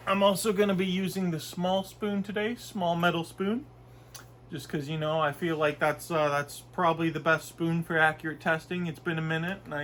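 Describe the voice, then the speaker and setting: A man's voice: a little high, fairly bright tone, slight background noise. A young man from Canada with a stuffy nose from a cold, chatting casually and rambling through a food review in his bedroom. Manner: rambling, chatty, with frequent fillers and restarts. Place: small bedroom, indoors.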